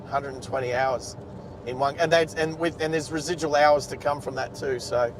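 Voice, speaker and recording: A man's voice: fairly even intonation, normal speed. An Australian man chatting casually and ramblingly as he hosts a livestream from inside a moving car. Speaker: livestream host, driver. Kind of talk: casual chat. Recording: car rumble and road noise.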